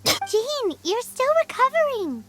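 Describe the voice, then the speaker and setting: A woman's voice: very high voice, big pitch swings, slow, exaggerated voice. A woman voicing a small childlike fairy companion in a video game, earnest and loyal, speaking like a concerned little girl. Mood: worried, indignant. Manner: speaks of self in third person, earnest. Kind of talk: scripted video game voice acting. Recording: loud music behind the voice.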